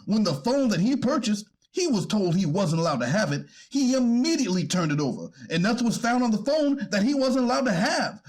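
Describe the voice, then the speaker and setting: High, husky-voiced deferential defense attorney acted by a man. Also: sing-song, brisk, hardly any pauses. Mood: earnest, pleading. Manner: deferential, formal, hesitant when challenged. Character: deferential male defense attorney pleading for his client. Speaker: actor reading a defense attorney. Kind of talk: readers theater courtroom transcript reading.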